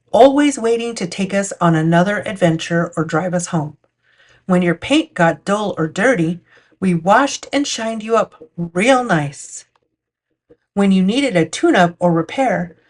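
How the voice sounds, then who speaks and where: A woman's voice: fairly low pitch, expressive, unhurried. A woman narrating a picture book for children as a storytime host. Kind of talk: storytime read-aloud narration.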